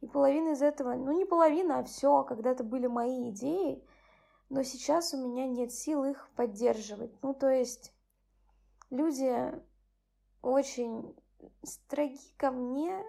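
Weary young woman, soft and gravelly. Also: moderate pace. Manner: soft, subdued, low-key. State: tired. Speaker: channel host. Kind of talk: bedtime story reading and personal chat.

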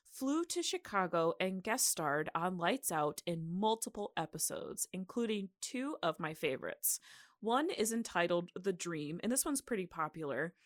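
A dry, close recording of a woman hosting a podcast. Her voice sits at a middling pitch as she tells history informally, in a playful conversational style. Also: fairly bright tone, no echo. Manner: jokey, giggly, informal. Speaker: podcast host. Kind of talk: casual, conversational history storytelling.

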